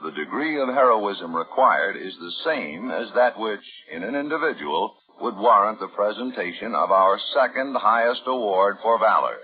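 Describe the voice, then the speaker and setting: Low-voiced vintage radio announcer man, tinny sound. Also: expressive, normal speed, hardly any pauses, husky. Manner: formal, solemn. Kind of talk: 1950s scripted radio announcing. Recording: tinny old radio broadcast with music.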